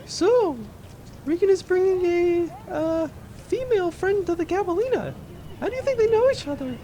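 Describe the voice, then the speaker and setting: A woman's voice: extremely high pitch, animated, normal speed, mellow, slight background noise. A woman playing a chipper young female spaceship pilot in a comedic audio drama.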